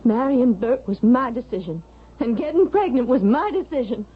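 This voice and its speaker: An actress playing an earnest young married daughter in a vintage radio drama, speaking emphatically. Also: female voice, a little high, expressive, moderate pace, slightly rough. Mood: defiant. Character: earnest, defiant young married daughter, loyal to her husband. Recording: dull vintage radio recording.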